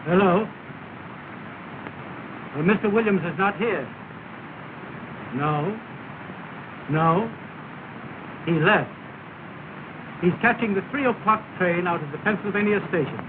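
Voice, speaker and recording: A polite, formal gentleman answering a house telephone, with a fairly high voice and an unhurried delivery. It is heard on a narrow, phone-like 1930 film soundtrack with heavy hiss. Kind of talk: 1930s film acting.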